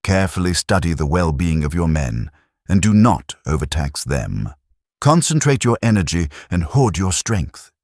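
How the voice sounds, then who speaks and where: Very deep-voiced male audiobook narrator. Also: very animated, darkish tone, dry recording, clean studio sound. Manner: measured, declarative delivery in short deliberate phrases. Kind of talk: scripted audiobook narration of a classic treatise.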